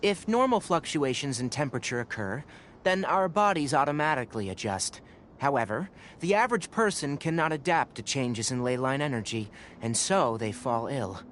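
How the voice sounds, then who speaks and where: An actor playing a calm, clinical young scholar and inventor in a video game, explaining things precisely and matter-of-factly. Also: male voice, mid-range voice, normal speed, slight background noise, close to an everyday voice. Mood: explaining, composed.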